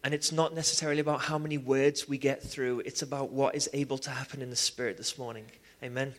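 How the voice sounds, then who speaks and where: A man from Liverpool with a Liverpool accent, preaching a sermon with personal testimony in an animated way, full of restarts and "um"s. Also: medium pitch, quick pace. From England. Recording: long hall reverb.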